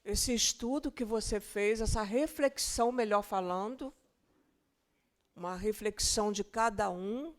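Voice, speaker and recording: A woman's voice: mid-range voice, a little slow. A Brazilian woman hosting a religious gathering, giving conversational closing remarks and thanks. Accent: Brazilian Portuguese. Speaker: host of the gathering.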